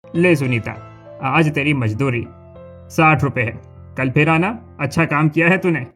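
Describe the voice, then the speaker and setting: A man voicing a gruff, hard-bargaining farm owner in an animated story, bossy and brusque. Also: a little high, measured pace, faint music behind.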